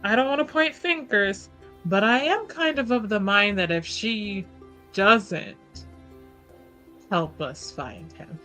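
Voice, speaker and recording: A woman playing a tabletop roleplaying game, talking casually and deliberately as she reasons aloud. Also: medium pitch, expressive, sharp tone, soft background music.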